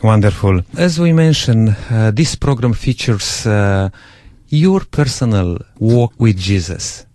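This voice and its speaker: Slow, deep-voiced male radio host. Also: expressive, warm tone, dry recording, studio quality. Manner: slow, measured, encouraging, frequent pauses with "uh".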